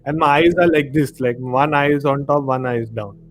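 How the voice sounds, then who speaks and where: A man's voice: mid-range voice, brisk, a little hiss or hum. A man with an Indian accent co-hosting a casual film discussion on a live stream, conversational and repeating himself for emphasis.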